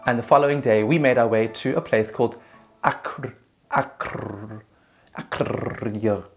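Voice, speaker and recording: A man's voice: mid-range voice, unhurried, soft background music. A man narrating his own travel story in a casual, self-mocking voice-over.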